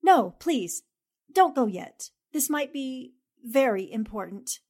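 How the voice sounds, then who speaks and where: A woman playing a brisk, self-possessed newspaper reporter in a 1940s-style radio drama. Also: sits high, lively intonation, a little mellow, full character voice. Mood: urgent, businesslike.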